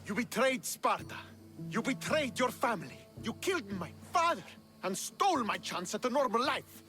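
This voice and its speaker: A man's voice: very high-pitched, big pitch swings, moderate pace, music in the background. A man playing an embittered, vengeful young Spartan commander in a video game, with an intense, contemptuous delivery. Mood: furious, anguished. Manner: intense, contemptuous, swinging from hushed menace to shouting. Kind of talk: dramatic video game cutscene acting.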